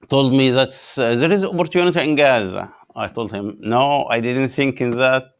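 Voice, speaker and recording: Gravelly-voiced Egyptian pastor, halting English. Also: male voice, medium pitch. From Upper Egypt. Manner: halting non-native English with many "uh" pauses. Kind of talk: interview guest telling his story.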